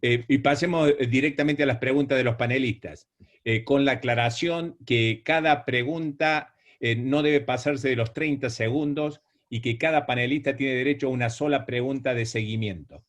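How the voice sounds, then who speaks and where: A man's voice: mid-range voice, moderate pace. A man moderating a panel discussion, speaking politely and often hesitating.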